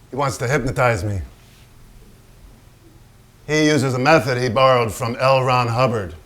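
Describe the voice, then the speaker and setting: An actor in a staged reading playing a brash, vulgar and troubled celebrated painter, with a raw, profane, halting delivery. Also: male voice, mid-range voice, fairly bright tone. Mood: confiding, uneasy. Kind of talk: theatrical staged reading, dramatic monologue.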